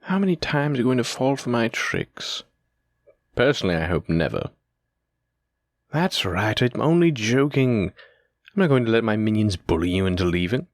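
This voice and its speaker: Man acting a commanding, low-voiced demon lord. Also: big pitch swings, normal speed, long pauses, no room sound, studio quality. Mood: amused, teasing. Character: stern, teasing demon lord ruling hell. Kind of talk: scripted audio roleplay monologue.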